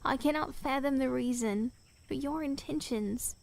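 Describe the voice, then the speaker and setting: High-voiced, proud young princess. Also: female voice, moderate pace, creaky. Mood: pleading, sorrowful. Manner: formal, archaic, earnest diction. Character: young princess, pleading yet proud and imperious. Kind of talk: theatrical audio drama acting.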